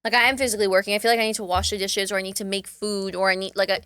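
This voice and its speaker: A woman's voice: mid-range voice, flat delivery, racing, bright, forceful tone, clear, resonant tone, dry recording, studio quality. A woman co-hosting a podcast in casual chat, a bit anxious and full of "like" fillers. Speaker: podcast co-host.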